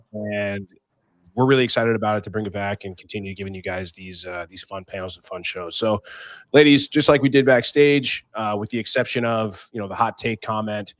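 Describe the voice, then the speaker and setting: A man's voice: medium pitch, no room sound. A man hosting a casual panel show, chatty and loose, often correcting himself. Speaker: host.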